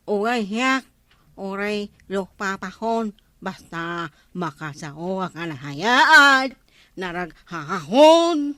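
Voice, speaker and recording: A woman playing a nosy, animated female villager who drawls and exclaims in an Ilocano comedy radio drama. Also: medium pitch, slow, no room sound. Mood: curious.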